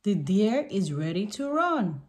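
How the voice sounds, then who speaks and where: A woman reads a picture book aloud to young children. She takes it slowly and deliberately, with very lively swings of pitch. There is light background noise and some clicking. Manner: deliberate, short simple sentences.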